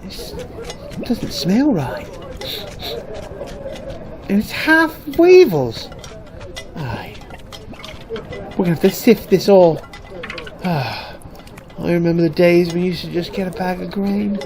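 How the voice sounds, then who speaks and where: A woman's voice: on the low side, big pitch swings, slow pace, pauses often, darkish tone, heavily acted. A woman voicing a weary, fussy, bossy granary worker in a fantasy radio drama, grumbling with resignation. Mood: disgusted grumbling. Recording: crowd chatter behind the voice.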